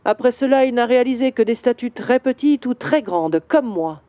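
A woman voicing a grieving male bronze statue in a children's audio story, slow and wistful. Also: a little high, expressive, dark tone, dry recording, pitched above the performer's own voice, natural voice. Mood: sorrowful.

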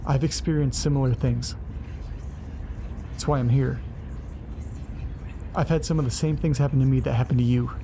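Actor as gravelly, guarded male investigator. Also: mid-range voice, steady tone, warm tone, slight background noise, close to an everyday voice. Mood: intent, guarded. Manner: terse, measured. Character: guarded investigator drawing out a witness's story. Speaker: audio drama actor. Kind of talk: horror audio drama dialogue.

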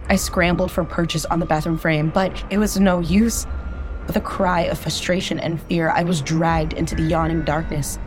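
Low-voiced woman narrating horror. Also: a little mellow. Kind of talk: first-person horror narration.

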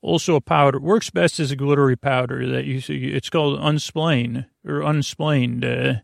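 A man with a creaky voice hosting a bedtime podcast, rambling in a lulling, stammering way and wandering off on tangents. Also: mid-range voice, fairly even intonation, moderate pace, no room sound, studio quality. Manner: meandering, stammering, goes off on tangents; lulling, soothing. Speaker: host of a bedtime sleep podcast.

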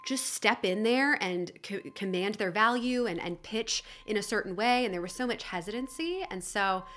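A woman's voice: medium pitch, animated, normal speed, a bit bright. An American woman in a casual, reflective interview conversation, candid and discursive, peppering her talk with conversational fillers. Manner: candid, discursive, frequent "you know" and "like". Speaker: interviewer and business owner.

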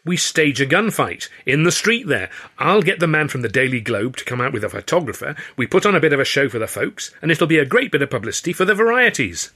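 Keen male impresario voiced by a male narrator, storybook showman, bright and raised in pitch, selling publicity ideas. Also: very animated, fast talker, few pauses, heavily acted. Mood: enthusiastic. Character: enthusiastic peacemaking showman with an eye for publicity.

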